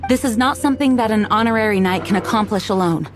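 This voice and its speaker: A woman's voice: mid-range voice, fast talker, over music, natural voice. Woman as a composed, formal knight commander. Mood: resolute. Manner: formal, earnest. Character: dutiful, composed young female knight commander. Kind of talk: video game voice acting.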